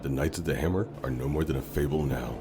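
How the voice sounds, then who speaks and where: Man as villainous general, cavernous low pitch, cold, contemptuous, commanding, fantasy audiobook, noisy ambience. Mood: cold, dismissive. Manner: commanding, dismissive, measured. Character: ruthless dark knight general, cold and contemptuous.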